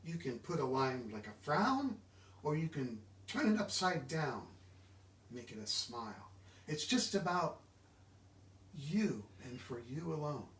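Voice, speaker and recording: Male book reader, slightly high voice, reading aloud, roomy and distant recording. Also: sing-song, unhurried, fairly bright tone. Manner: stumbles over long words. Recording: echoey room, distant microphone.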